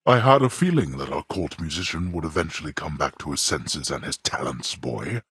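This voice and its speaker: An actor playing a stern, imperious god of the underworld in a video game, pompous and disdainful in archaic, formal speech, with a gravelly, husky voice. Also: male voice, very deep voice, expressive, moderate pace, a bit bright, no room sound, studio quality, heavily acted. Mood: weary, formal. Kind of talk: theatrical video game character acting.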